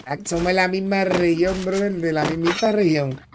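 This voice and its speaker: Man, Dominican accent, high voice, upbeat streamer, repeats phrases, greets viewers, heavy background noise. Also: steady tone, normal speed. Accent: Dominican Spanish. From Dominican Republic. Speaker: gaming streamer. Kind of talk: casual live-stream gameplay chat.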